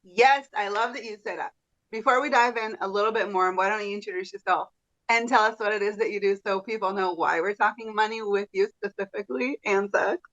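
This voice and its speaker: A woman's voice: mid-range voice, a little fast, fairly bright tone. Enthusiastic woman podcast host with a gushing delivery, on slightly dull audio. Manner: effusive, enthusiastic. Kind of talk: podcast interview.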